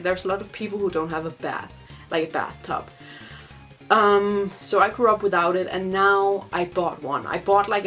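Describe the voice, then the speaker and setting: A young woman speaks English with a Danish accent, casual and rambling, full of little fillers. Her pitch is average for a woman. Faint music plays behind her. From Denmark. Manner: chatty, rambling, many fillers and laughs. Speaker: vlogger. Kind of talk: casual Q&A vlog.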